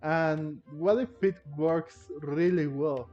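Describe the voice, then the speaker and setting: A man's voice: on the high side, takes it slowly, muffled recording, faint music behind. A man giving casual playthrough commentary on a game, thinking aloud hesitantly and repeating phrases. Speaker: gaming content creator.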